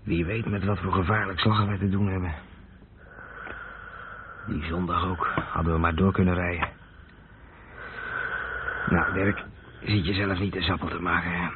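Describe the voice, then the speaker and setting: Actor as a low-voiced, calm trucker, noisy radio. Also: male voice, moderate pace, long pauses, lightly acted. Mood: drowsy, reassuring. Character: calm, fatherly male truck driver settling to sleep. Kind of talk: radio play acting. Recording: tinny, very noisy old radio recording.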